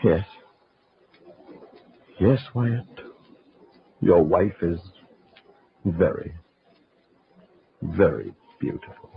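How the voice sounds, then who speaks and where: A man playing a horrified gentleman in an old-time radio horror play, dramatic and halting. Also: low voice, animated, gravelly, breathy edge, heavily acted. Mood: haunted. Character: horrified gentleman piecing together a murder. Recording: muffled old radio recording.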